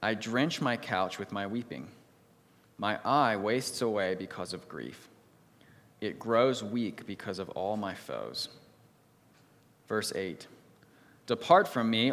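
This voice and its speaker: A pastor reads a psalm in a measured, solemn voice, then prays and preaches a sermon to his congregation. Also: on the low side, lively intonation, a bit bright, long reverb tail. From United States. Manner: measured, solemn reading, livelier when telling stories. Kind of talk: sermon with scripture reading and prayer.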